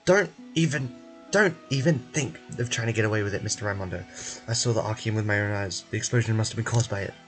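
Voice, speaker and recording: A man's voice: on the low side, fast talker, faint music behind, lightly acted. A man voicing a distrustful young female journalist in a fantasy game, accusing and insistent.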